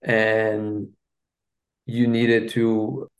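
A man from Belgium whose first language is French, speaking English with a Belgian French accent, recounting his past as a podcast guest in a halting way with frequent restarts. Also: on the low side, completely flat delivery. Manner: halting, with many restarts and fillers. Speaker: guest, relationship coach. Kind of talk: personal story in a podcast interview.

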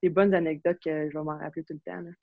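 A young woman from Quebec with a Quebec French accent, chatting casually as an interview guest, relaxed and full of fillers and restarts. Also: sits low, quick pace, vocal fry. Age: young adult. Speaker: interview guest, university hockey player. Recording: slightly dull, lo-fi sound.

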